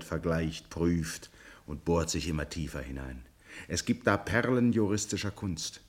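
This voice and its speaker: A man narrating a scripted radio drama as a grave storyteller, with a husky voice. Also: rumbling low.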